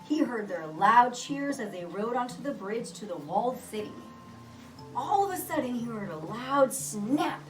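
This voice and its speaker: A woman reading a children's picture book aloud with expressive, deliberate storytelling delivery. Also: on the high side. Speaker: storybook reader. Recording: roomy echo, distant mic, music behind.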